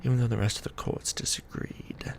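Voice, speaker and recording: A man voicing a weary, tender aristocratic vampire lord in an ASMR romance roleplay, murmuring softly and formally, close to the microphone. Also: fairly quick, lightly acted. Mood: brooding, bitter. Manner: soft, breathy murmuring, formal archaic phrasing. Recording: background music bed.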